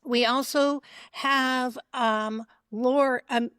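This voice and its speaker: A woman moderates a community forum, introducing each speaker in a halting way full of fillers. Her voice sits a little high and is recorded close and dry, with no room echo. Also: steady tone, a bit bright, clear, resonant tone. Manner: hesitant, many ums and restarts.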